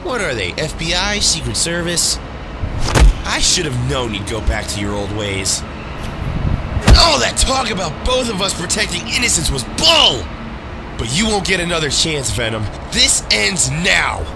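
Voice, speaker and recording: A man's voice: mid-range voice, expressive, moderate pace, over loud music, lightly acted. A man playing a wisecracking, exasperated young superhero in a comic-book drama. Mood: indignant. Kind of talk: comic-book motion comic acting.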